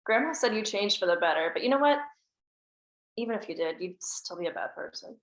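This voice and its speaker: An actress at a table read playing a guarded, bitter teenage daughter with curt replies. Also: female voice, mid-range voice, animated, a little fast, echoey room, natural voice, rough recording. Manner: curt, cutting.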